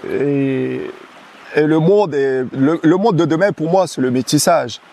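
Male guest, casual outdoor interview, laid-back, halting delivery with many fillers. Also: on the high side, gravelly. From French Guiana. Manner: hesitant, many restarts and fillers, relaxed.

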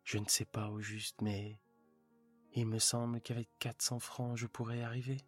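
A man's voice: mid-range voice, little pitch movement, normal speed, faint music behind, voice pushed up, natural voice. A man voicing a discontented, bitter young wife who speaks in a plaintive, resentful way.

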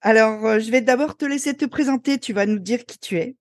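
A woman's voice: on the high side, brisk. A woman hosting a podcast as a school coach, reading a scripted introduction and then chatting informally with fillers.

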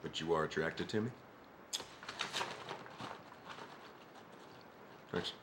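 A man's voice: a bass voice, fast talker, echoey room, noisy background. An actor playing a polite, folksy, flustered American man with a Southern accent in scripted dialogue. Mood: exasperated.

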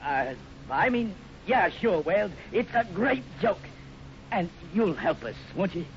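A slick American crook from a 1950s radio serial, fairly high and very animated. He coaxes with false friendliness and gives brisk orders, on a muffled, noisy old recording. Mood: coaxing. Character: smooth-talking scheming male bank robber, falsely friendly.

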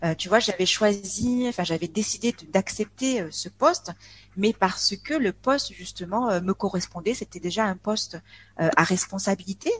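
A woman's voice: medium pitch, moderate pace, breathy edge. A woman of forty from France, a guest chatting casually in an interview, talking in a hesitant way with many filler sounds and restarts. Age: 40s. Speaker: podcast guest.

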